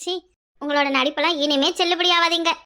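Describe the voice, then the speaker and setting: A woman's voice: extremely high pitch, fairly even intonation, brisk, pushed and bright. A woman playing a spiteful, haughty sister-in-law in a village family drama, scolding and taunting. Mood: contemptuous. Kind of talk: Tamil family drama dialogue.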